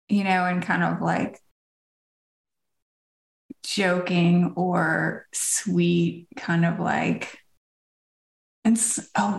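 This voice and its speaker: Slow, gravelly-voiced woman. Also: on the low side, reverberant. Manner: unhurried, laughing easily, trailing off. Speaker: podcast co-host. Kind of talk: casual podcast chat.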